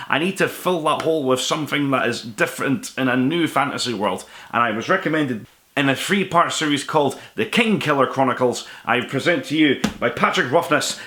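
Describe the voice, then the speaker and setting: A man's voice: on the high side, bright, forceful tone. A man hosting a casual vlog, talking to viewers in an enthusiastic, rambling way. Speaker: host of a book haul vlog.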